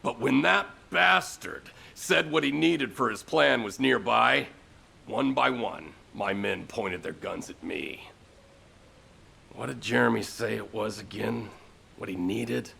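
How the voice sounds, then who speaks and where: An actor playing a blunt, battle-worn squad leader in a video game, with a gravelly, raspy voice and a weary storytelling manner. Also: male voice, mid-range voice, slow, pushed and bright, full character voice. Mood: bitter, recounting. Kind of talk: video game cutscene acting.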